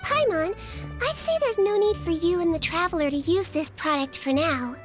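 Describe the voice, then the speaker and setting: A small, caring nurse from an anime game. Her voice is extremely high, sweet and girlish. She speaks calmly and precisely to reassure people, with music playing behind her. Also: moderate pace. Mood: helpful. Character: gentle, caring young girl nurse, small nonhuman. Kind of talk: anime video game voice acting. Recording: background music.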